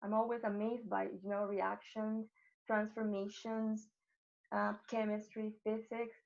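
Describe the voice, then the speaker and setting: A woman from El Salvador with a Salvadoran Spanish accent gives a prepared talk as a guest speaker, haltingly searching for words. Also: medium pitch, level tone, gravelly. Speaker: guest speaker, coffee professional. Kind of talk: prepared talk at an online festival. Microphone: video call. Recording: dull lo-fi online call audio.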